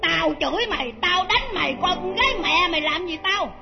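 A woman's voice: very high-pitched, expressive, a little fast, vocal fry, over music, full character voice. An actress playing a spiteful, bullying older mother-in-law in a melodrama, with a southern Vietnamese accent and a scolding, wailing manner. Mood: scolding, menacing. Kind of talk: Vietnamese stage melodrama acting. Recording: dull lo-fi old drama recording.

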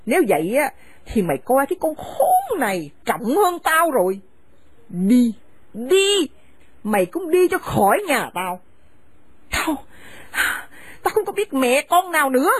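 Woman voicing a shrill mother-in-law, high pitch, lively swings, scolding harshly, radio drama. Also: extremely slow, few pauses, heavily acted. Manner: scolding, shouting insults. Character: furious domineering older mother-in-law.

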